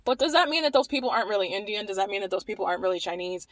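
A young woman from Maryland giving animated, wry commentary to the camera, repeating phrases for emphasis. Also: mid-range voice, rapid-fire, no echo. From Maryland, USA. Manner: animated, wry, repeats herself when emphasizing, laughs at points. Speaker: vlogger. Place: small indoor room against a plain wall.

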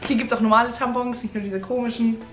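A woman's voice: fairly high pitch, fairly even intonation, quick pace, reverberant, faint music behind. A young woman vlogging in a home living room, chatting casually and hesitantly with many fillers. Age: young adult. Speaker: au pair vlogger. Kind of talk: casual chat.

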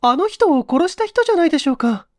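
A woman acts out an anxious young woman under questioning in a mystery story. Her voice sits high, with polite, pleading, feminine phrasing. The recording is close and dry. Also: very animated, fast, darkish tone, dry recording. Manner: polite, feminine, hesitant old-fashioned phrasing.

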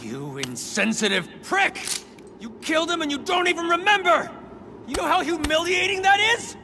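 A man's voice: very high voice, big pitch swings, moderate pace, full character voice. An actor playing a vengeful, hot-tempered young swordsman in a video game, menacing and indignant. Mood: furious, vengeful. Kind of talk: video game cutscene acting. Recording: long reverb, faint background noise.

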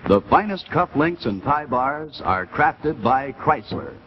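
A man's voice: mid-range voice, sing-song, slow. An American man announcing on 1950s television with a polished sales delivery. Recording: dull 1950s TV kinescope, faint noise.

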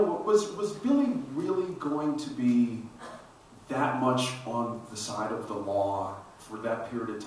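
A man's voice: on the low side, a little mellow. Echoey male panel moderator. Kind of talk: casual, conversational questioning on stage. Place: large auditorium stage. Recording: very echoey hall, distant, faint noise.

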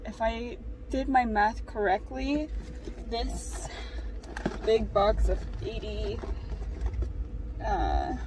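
A woman's voice: medium pitch, darkish tone, distant mic, a little hiss or hum, low-quality recording. A woman in her twenties chatting casually to her vlog audience from a parked car, rambling and hesitant with lots of ums. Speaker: vlogger. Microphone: phone camera propped low in the car.

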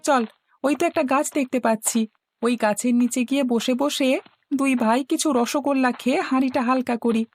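A woman's voice: high voice, fairly even intonation, brisk, dry recording, soft background music. A woman voicing a cheeky, greedy village boy in a cartoon, coaxing and brushing worries aside. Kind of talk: cartoon voice acting.

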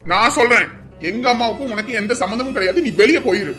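A woman acting a grieving young son who sobs and shouts over his mother. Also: a little high, a little fast, faint music behind, lightly acted. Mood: furious. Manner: shouting, sobbing.

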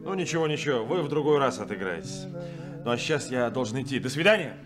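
An actor as a courteous captain, music behind. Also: male voice, mid-range voice, expressive, quick pace, natural voice. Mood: calm, amused. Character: courteous, unhurried male ship's captain. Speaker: radio drama actor. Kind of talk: 1970s Soviet radio play acting.

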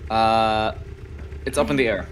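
A man's voice: lowish voice, a little slow, poor audio. A man chatting casually and exclaiming as he plays a game with friends. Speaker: guest player in online game. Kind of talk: casual gaming chat. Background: video game music.

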